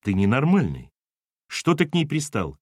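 A man voicing a brisk, scolding wife and mother in an audiobook, with a clipped, commanding manner. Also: medium pitch, wildly swooping pitch, darkish tone, dry recording, clean studio sound. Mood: accusing.

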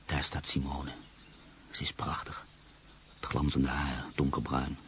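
An actor playing a reflective, skeptical journalist in a radio play, telling his own story in a measured, literary manner. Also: a bass voice, completely flat delivery, fairly bright tone, a little hiss or hum. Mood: tender, musing. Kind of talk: radio play, first-person narration and dialogue.

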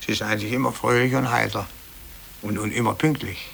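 An actor playing an aggrieved, self-pitying clerk in 1950s radio drama, brooding, grumbling and repeating himself when agitated. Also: male voice, lowish voice, fairly even intonation, a little fast, creaky, fairly bright tone. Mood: confiding. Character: aggrieved, self-pitying male office clerk, resentful of his boss. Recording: hissy old 1950s radio tape.

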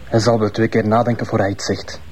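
A man plays a cold, scheming criminal in a radio crime drama. His voice is fairly low and husky, and he slips between Dutch and Spanish. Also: level tone, brisk, darkish tone, natural voice, rough recording. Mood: cold, calculating.

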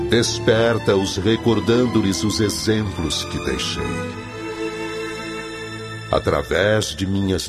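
Very slow, deep solemn Christ. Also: male voice, heavily acted. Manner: grave, exhortative, measured. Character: Jesus Christ, solemn male divine teacher giving a mission. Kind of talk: solemn radio drama acting. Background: background music.

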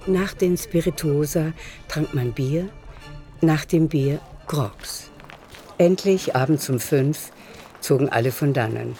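A man narrating a literary radio play in a measured, deliberate manner. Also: on the high side, warm tone, soft background music.

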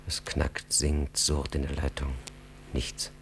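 A man narrating a radio drama in a terse, intense, dramatic style, hushed at times. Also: a bass voice, completely flat delivery, slow, a little hiss or hum, exaggerated voice. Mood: tense, hushed. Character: tense storyteller of an officer's ordeal. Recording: music behind the voice.

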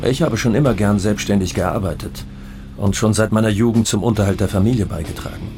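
Radio drama drug baron, male actor: self-assured, declamatory, deeper voice, guitar bed. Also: brisk, natural voice. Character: proud, defiant Colombian drug baron speaking his mind.

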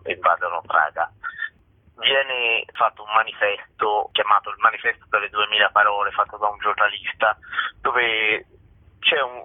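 Male interview guest, low voice, halting off-the-cuff storyteller, dry telephone sound. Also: dry recording. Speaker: theatre director, interview guest. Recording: dry narrow phone line.

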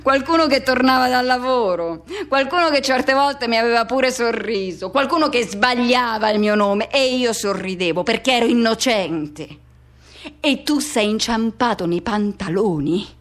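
A woman performing a radio theatre monologue as an anguished, pleading lover recalling a forbidden teenage love, in urgent, halting phrases that insistently repeat. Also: a little high, lively intonation, fairly bright tone. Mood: tense, remembering.

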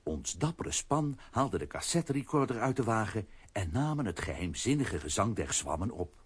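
A man with a husky voice playing the storytelling narrator of a children's audio drama. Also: lowish voice, very animated, normal speed.

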